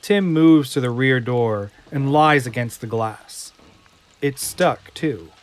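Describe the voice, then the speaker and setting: A man narrating an audio drama from a script in a deliberate, suspenseful manner. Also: medium pitch.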